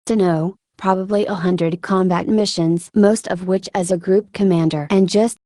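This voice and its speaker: A woman giving reflective interview answers in a halting, thoughtful way with frequent filler words. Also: medium pitch, mellow, dry recording, clean studio sound. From Ukraine. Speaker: military combat medic being interviewed.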